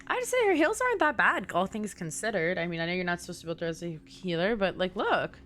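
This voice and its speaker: A woman's voice: lowish voice, big pitch swings, fast, a bit bright, faint music behind. A young woman chatting casually as she streams a game, rambling through conversational asides. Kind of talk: casual chatty livestream gaming commentary. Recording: clear background noise.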